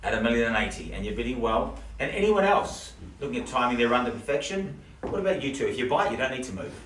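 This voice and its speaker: A middle-aged man calling bids at a live house auction, cracking jokes and repeating figures in a quick patter. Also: medium pitch, big pitch swings, a bit bright, slight background noise. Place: living room of a house. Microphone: camera across the room. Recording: roomy, distant camera audio.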